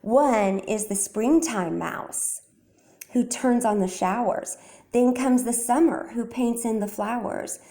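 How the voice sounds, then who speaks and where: Woman as a dreamy, deliberate poet mouse, slightly high. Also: dark tone. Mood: theatrical, reciting. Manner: simple, deliberate, poetic. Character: calm, dreamy male field mouse poet. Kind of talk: children's storybook read-aloud.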